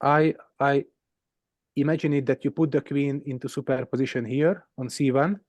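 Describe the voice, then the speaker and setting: Mellow Hungarian-accented man explaining puzzles. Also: medium pitch, steady tone, normal speed, dry recording. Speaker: game teacher and presenter.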